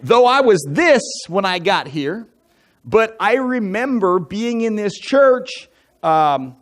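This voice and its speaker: A man in his forties, an elder from the United States, telling his life story to a church congregation in a relaxed, conversational way, full of asides and jokey fun facts. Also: sits high, takes it slowly. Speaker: church elder giving a testimony. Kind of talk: personal testimony talk from the pulpit. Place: church sanctuary.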